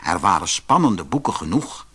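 Animated male fairy-tale narrator, slightly husky. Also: low voice, moderate pace. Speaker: audiobook narrator.

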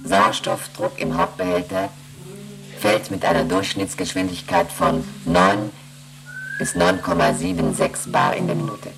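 An actress playing a female ship's computer in a German radio play, reciting emergency readings in a clipped, procedural monotone. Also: extremely low pitch, measured pace, gravelly, music in the background, heavily acted, low-quality recording. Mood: impassive, procedural.

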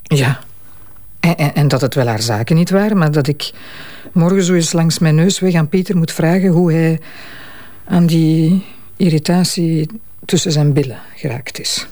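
An actress playing the exasperated, ashamed wife of a drunken police commissioner in a Flemish radio drama. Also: female voice, sits low, normal speed, warm tone, lightly acted. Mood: worried, ashamed. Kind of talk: naturalistic radio drama acting.

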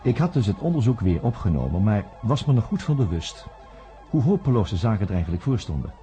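A wry investigator narrating darkly. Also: male voice, fairly low pitch, big pitch swings, warm tone. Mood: musing. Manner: wry, reflective, measured. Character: dry-witted male investigator narrating his case. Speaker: narrator of radio play. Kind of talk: scripted radio play narration. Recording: dull lo-fi sound with music under it.

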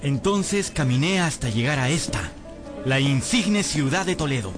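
A man's voice: on the high side, animated, moderate pace, over music, close to an everyday voice. An actor playing a battered, hapless young servant rogue who tells his own story wryly in a radio drama. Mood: wry, plaintive. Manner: wry storytelling, whimpering and stammering when cornered. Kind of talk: dramatised first-person narration in radio drama.

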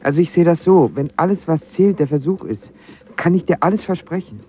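A man's voice: a little high, expressive, a little fast, dark tone, a little hiss or hum, lightly acted. An actor playing a lovesick, volatile young man in an audio drama, talking in a colloquial, sarcastic, self-deprecating way. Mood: pleading, agitated. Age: young adult. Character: lovesick, volatile young male actor narrating his first heartbreak. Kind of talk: audio drama acting with first-person narration.

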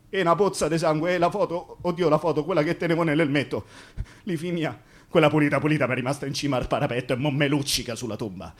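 A man's voice: a little high, a bit bright, close to an everyday voice. A man with a Roman accent playing a dead construction worker in a theatrical radio monologue, bitter and tender, rambling colloquially with incantatory repetitions. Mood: agitated, grim. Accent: Roman Italian. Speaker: stage actor.

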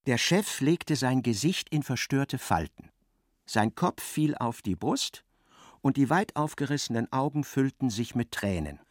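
A man reading scripted literary narration in a radio play, with expressive, dramatic storytelling. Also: medium pitch, a little slow, no room sound, clean studio sound.

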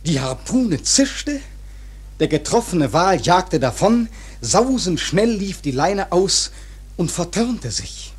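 Radio drama narrator: grave seafaring storyteller, husky, slow and measured, literary delivery. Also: male voice, sits high, very animated, exaggerated voice. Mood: urgent, intense. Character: grave seafaring storyteller recounting a whaling disaster. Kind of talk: dramatic scripted narration in German radio play.

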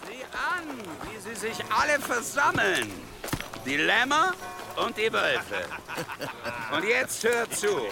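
Menacing, gravelly high-voiced commander. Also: male voice, big pitch swings, takes it slowly, music in the background, exaggerated voice. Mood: imperious, menacing. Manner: taunting, imperious, theatrical. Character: arrogant, menacing male commander demanding obedience. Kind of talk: German radio drama acting.

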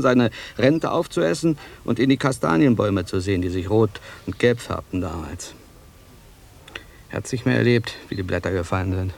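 An actor playing an embittered, melancholy old villager in a German radio drama, with a gravelly, husky voice. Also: male voice, on the low side, darkish tone. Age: older.

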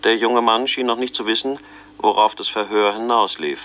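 A man's voice: lowish voice, steady tone, moderate pace, fairly bright tone, close to an everyday voice, rough recording. A man narrating his memories in the first person as a detective, in a reflective, wry tone.

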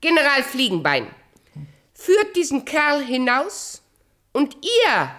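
A woman's voice: high-pitched, wildly swooping pitch, slow, fairly bright tone, full character voice. An actress playing a vain, murderous, imperious queen in a radio play, theatrical, commanding and volatile in manner. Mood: imperious, angry.

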